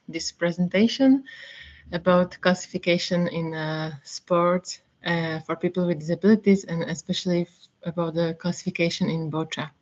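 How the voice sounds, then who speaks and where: A woman's voice: lowish voice, darkish tone. A woman from Slovakia giving a webinar lecture as a physiotherapist and classifier, with many fillers and restarts. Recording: dull lo-fi webinar audio.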